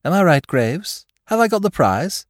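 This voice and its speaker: A man reading aloud, voicing a flippant, excitable aristocratic amateur detective. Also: moderate pace, no room sound, clean studio sound. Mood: cheerful. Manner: flippant, theatrical, high-spirited.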